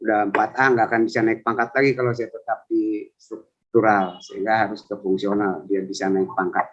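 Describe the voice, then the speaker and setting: A man's voice: on the low side, level tone, a little fast. A man in his fifties, a civil service trainer, chatting and rambling through his self-introduction in an online coaching session. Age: 50s. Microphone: video call. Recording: lo-fi video call audio.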